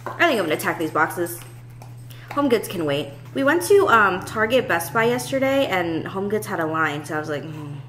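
A woman's voice: fairly low pitch, big pitch swings, normal speed, a bit bright. A young adult woman with an American accent chatting casually to camera as a vlogger, rambling and informal. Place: at a table in an open-plan house.

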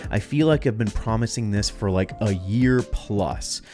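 A man's voice: on the low side, unhurried, warm tone, faint music behind. A young man with a stuffed-up, nasal voice, casually walking through his camera gear as a filmmaking channel host. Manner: casual, chatty gear talk. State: congested, stuffed up. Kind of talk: gear review vlog. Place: dim home studio. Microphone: studio mic on boom arm.

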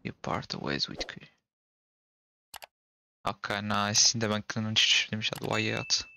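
A man's voice: lowish voice, fairly even intonation, moderate pace, vocal fry, pushed and bright, slight background noise. A young man with a Portuguese accent chatting casually as he plays through a game, reacting aloud in slang. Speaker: game streamer. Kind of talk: casual let's-play commentary.

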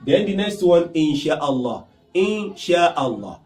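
A middle-aged Nigerian man with a Nigerian accent teaching Arabic phrases to camera, repeating each phrase in a lively way. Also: a little high, slow pace, reverberant. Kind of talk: language lesson to camera. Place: small indoor studio with whiteboard.

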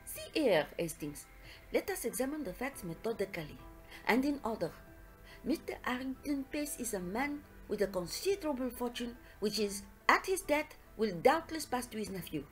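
A woman's voice: fairly high pitch, big pitch swings, normal speed, faint music behind. A woman voicing a confident, middle-aged male detective, precise and affectionate, in an audiobook story. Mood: methodical. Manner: precise, self-satisfied, foreign turns of phrase.

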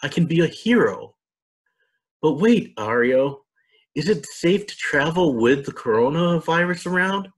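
A man voicing an earnest, curious young girl in an expressive, wondering way. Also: on the high side, measured pace, pitched above the performer's own voice. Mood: earnest, eager.

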